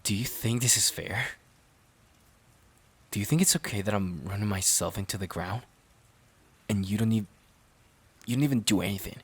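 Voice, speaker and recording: A man playing a resentful, overworked boyfriend in an emotional audio roleplay, halting and sighing as he repeats himself. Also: lowish voice, fairly bright tone, slightly rough. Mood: weary resentment. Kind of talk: emotional audio roleplay, intimate dramatic monologue.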